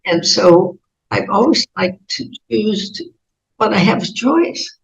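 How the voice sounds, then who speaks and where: An 88-year-old American woman with a raspy voice, telling reflective personal stories as an interview guest in halting, thoughtful phrases. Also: mid-range voice, lively intonation, slight background noise, rough recording. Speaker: interview guest, author and speaker.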